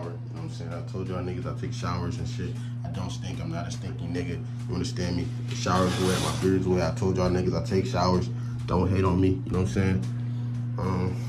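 An eighteen-year-old man from Texas, chatting casually and rambling to his viewers in a college vlog, talking into a phone camera in a small bedroom. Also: low-pitched. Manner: rambling and slangy, lots of fillers, talks to chat. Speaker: college student vlogger and streamer. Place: small bedroom and bathroom indoors. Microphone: phone camera held at arm's length or propped.

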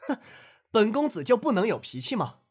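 Casting: haughty, touchy young gentleman played by a male actor, husky edge, very animated delivery. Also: high voice, moderate pace, exaggerated voice. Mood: peevish. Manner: haughty, archaic turns of phrase. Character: proud, petulant young nobleman, easily offended. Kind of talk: audio drama acting.

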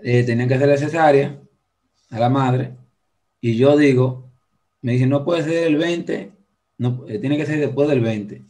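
A man's voice: medium pitch, a little slow, dark tone. A Dominican man hosting an interview over a video call, asking questions and telling family anecdotes in a chatty, rambling way. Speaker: host. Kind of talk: casual interview chat. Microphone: video call, earphone mic.

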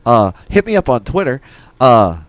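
A man's voice: medium pitch, sing-song, moderate pace, dry recording. A man co-hosting a casual podcast, rambling and swearing freely as he chats into a close studio mic. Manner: rambling, profane. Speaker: podcast co-host. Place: small studio room. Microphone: close podcast mic with headset.

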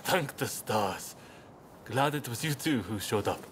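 An actor playing a serious, pragmatic samurai clan leader in a video game cutscene, with a measured, deliberate delivery. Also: male voice, mid-range voice, expressive, fairly bright tone, slight background noise. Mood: relieved.

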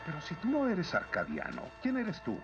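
A man's voice: fairly high pitch, huge pitch swings, normal speed, dull audio, over loud music. A man playing a stern cartoon overseer of slave mines, brusque and commanding, with a Latin American Spanish accent. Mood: scornful. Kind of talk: dubbed animated series acting.

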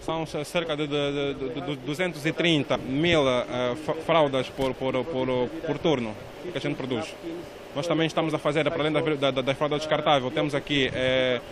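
Halting businessman, slightly high voice. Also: fairly even intonation, crowd noise. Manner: halting, repeats words, many fillers. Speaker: business owner. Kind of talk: interview answer.

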